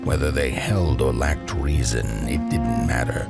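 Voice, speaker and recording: Very deep, gravelly male storyteller. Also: unhurried. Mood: solemn. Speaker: narrator. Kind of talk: slow storybook game narration. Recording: music behind the voice.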